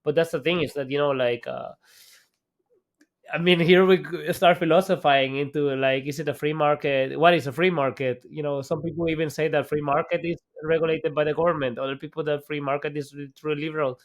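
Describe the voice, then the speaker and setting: A man's voice: on the high side, fairly even intonation, brisk. A man from El Salvador, a guest entrepreneur, talking casually in an interview with many fillers and restarts.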